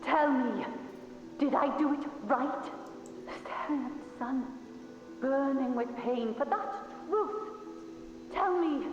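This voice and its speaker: A woman's voice: sits high, takes it slowly, pauses often, over music. Intense warrior woman, echoing hall. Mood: desperate, unhinged. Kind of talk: dramatic video game acting. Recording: echoey hall, distant and muffled.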